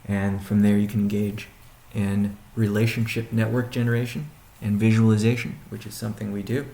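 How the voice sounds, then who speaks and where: A man speaking as a chief executive on a conference call, answering questions in a halting, deliberate way with pauses and restatements, in a roomy recording. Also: lowish voice, little pitch movement, gravelly, dark tone. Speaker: company CEO hosting a weekly conference call. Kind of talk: question-and-answer business briefing. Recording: roomy, echoing sound.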